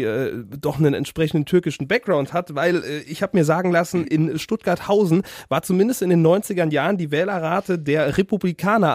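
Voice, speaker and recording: A man's voice: on the high side, a little mellow, dry recording, studio quality. A man hosting a podcast, putting casual questions to a guest in a hesitant, roundabout way. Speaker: podcast interviewer, host. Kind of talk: casual conversational interviewing.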